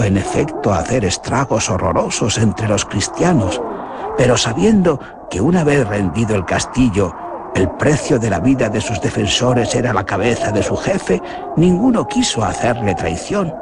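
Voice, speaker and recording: A man narrating a radio horror drama in a theatrical, dramatic way. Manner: theatrical, with big swings in pitch. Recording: noisy radio drama recording.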